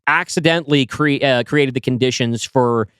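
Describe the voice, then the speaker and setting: A man's voice: mid-range voice, moderate pace, fairly bright tone, clear, resonant tone, no room sound, studio quality. A man co-hosting a casual podcast, talking enthusiastically and swearing now and then. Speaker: podcast co-host and writer.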